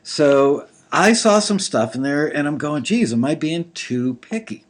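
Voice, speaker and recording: A man with a slightly gravelly voice giving casual live commentary on listeners' songs, thinking aloud with lots of fillers. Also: fairly high pitch, lively intonation, unhurried. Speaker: music industry reviewer critiquing listener songs.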